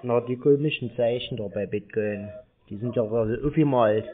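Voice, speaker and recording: A man's voice: medium pitch, normal speed. A man in a phone conversation, teasing and stubbornly questioning the other side. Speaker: prank caller baiting phone scammers. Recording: tinny band-limited phone line.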